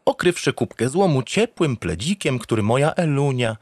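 An actor playing a naive, self-satisfied, doting spaceship captain who narrates a comic radio drama in an earnest, theatrical, pompous way. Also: male voice, medium pitch, sing-song, moderate pace, darkish tone, husky, dry recording, clean studio sound. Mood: excited, earnest.

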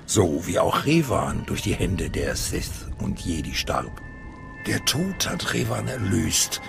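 An ominous older cult master from a video game, with a heavily creaking, gravelly voice. He speaks with hushed menace and dramatic swings of pitch, over clear background music. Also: exaggerated voice.

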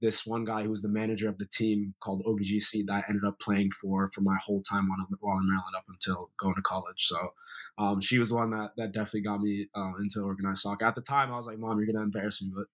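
Young man in a relaxed video-call chat. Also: fairly low pitch, fast talker, rough recording. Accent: American. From Sierra Leone. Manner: relaxed storytelling, many fillers. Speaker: guest, former college soccer player. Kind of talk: casual interview chat. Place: bright room indoors, video call.

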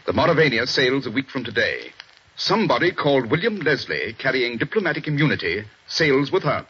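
An actor playing a stern middle-aged police chief inspector, in a 1940s radio drama, husky and clipped. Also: male voice, mid-range voice, big pitch swings, a little fast, a bit bright, slight background noise. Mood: grave. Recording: dull old radio broadcast.

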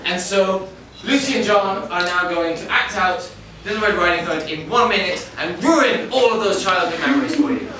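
A young man hosting a live improv comedy show, chatty and quick to laugh. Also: high-pitched, expressive. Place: small theatre stage with audience. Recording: echoey hall, far mic, noisy.

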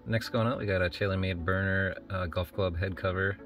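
A man with a notably low voice talks through a how-to vlog. He is casual and matter-of-fact, wandering a little as he explains. Music plays clearly behind his voice. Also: steady tone, brisk, fairly bright tone. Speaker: online reseller hosting a shipping vlog. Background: background music.

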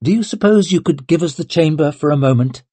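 A man's voice: a little high, lively intonation, warm tone, husky, no echo, pitched above the performer's own voice. A man voicing a stately, composed middle-aged noblewoman speaking formally in an audiobook. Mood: polite. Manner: measured, formal.

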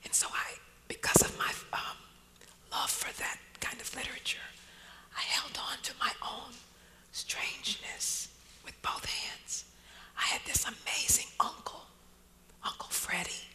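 A woman poet telling personal stories as a guest speaker, in a hushed, whispering delivery. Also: on the high side, unhurried, rough recording. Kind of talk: personal storytelling at a live talk.

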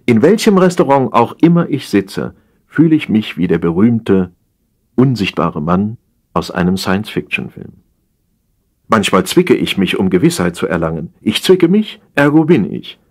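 A man narrating a humorous satire as an audiobook reader, in an expressive, measured storytelling manner. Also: lowish voice.

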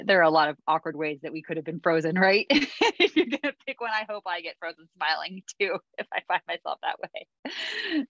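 A woman's voice: deep voice, fairly bright tone, no room sound. A woman hosting a Navy mentoring session over a video call, chatty, with many fillers and easy laughs. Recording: dull dry video-call audio.

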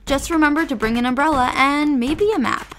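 A woman giving wry, measured scripted narration for an exploration video. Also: high voice, a bit bright, a little hiss or hum. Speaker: vlog narrator. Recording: with background music.

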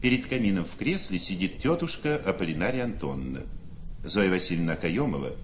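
A man's voice: deep voice, big pitch swings, fairly quick, a little hiss or hum. A man narrating the stage directions of a radio play in a scripted, storytelling style.

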